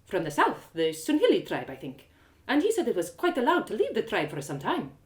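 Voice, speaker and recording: Woman playing a chatty, knowing former thief, lively pitch. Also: fairly quick. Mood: probing. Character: frank, inquisitive former woman thief, hardened village storyteller.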